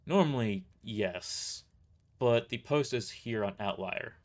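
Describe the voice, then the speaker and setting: A tired, serious mercenary commander in an audio drama, played by a man, with a medium-pitched voice. He explains things in a measured, matter-of-fact way, weighed down and blunt. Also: expressive. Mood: grave, blunt. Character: weary, grave male mercenary boss giving briefings.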